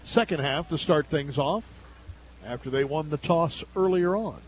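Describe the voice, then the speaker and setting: A man with an American accent calls a football game live for radio as the play-by-play announcer. His voice sits fairly high for a man, and he follows each play in the clipped phrasing of sports commentary. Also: measured pace, rough recording.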